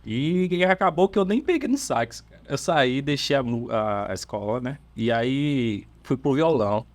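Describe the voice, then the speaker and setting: A man's voice: mid-range voice, animated, normal speed, no room sound. Bahian man telling a story, dry sound. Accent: Bahian Portuguese. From Salvador, Brazil. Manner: easygoing storytelling, hesitant fillers and restarts, laughs often. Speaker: bassist of a church worship band, podcast guest. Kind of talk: casual podcast chat.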